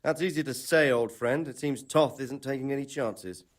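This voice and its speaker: Composed noble commander for a medieval game, male actor: medium pitch, formal, measured, authoritative. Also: lightly acted. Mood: calm, calculating. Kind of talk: video game cutscene acting.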